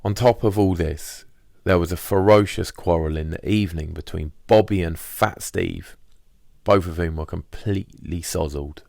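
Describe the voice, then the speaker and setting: A man's voice: deep voice, moderate pace, vocal fry, darkish tone, no echo, clean studio sound. A man narrating a first-person diary as a scripted audiobook.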